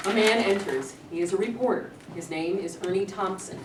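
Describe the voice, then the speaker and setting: A woman's voice: mid-range voice, a little fast. A woman reading the stage directions of a play in a plain, matter-of-fact way. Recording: echoey room, distant mic, noisy.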